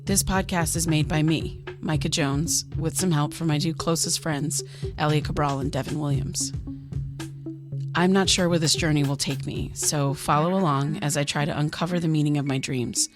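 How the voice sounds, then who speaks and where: An actress playing an earnest, curious young American podcaster in an audio drama, speaking in a conversational, slightly hesitant way. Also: female voice, low voice, fairly even intonation, normal speed, over music, lightly acted. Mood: earnest, measured. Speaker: podcast host character.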